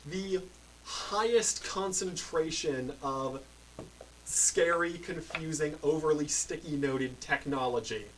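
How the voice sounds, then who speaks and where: A man narrating as game master of a tabletop role-playing game, describing scenes in a relaxed, rambling way. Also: fairly high pitch, takes it slowly. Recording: mic a bit far, faint noise.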